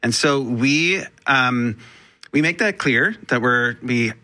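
This is a man who runs a theatre, speaking in an effusive, gushing interview. His voice is bright and ringing, and his praise pours out. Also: medium pitch, lively intonation, slow. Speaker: artistic director of a theatre. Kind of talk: enthusiastic interview talk.

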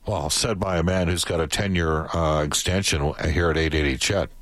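A man's voice: very deep voice, fairly even intonation, a bit bright, dry recording. A man hosting a sports radio show, joking with a guest in casual chat. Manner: joking, brisk reads ad copy. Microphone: studio microphone.

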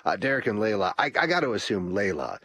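A man hosting a radio call-in show, his pitch swinging up and down as he talks. His voice is a little husky and sits dry and close in the studio. He rambles easily, full of ums and restarts. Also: fast talker, no echo. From Canada. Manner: lively, rambling with many fillers. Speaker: radio talk show host. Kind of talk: casual talk radio.

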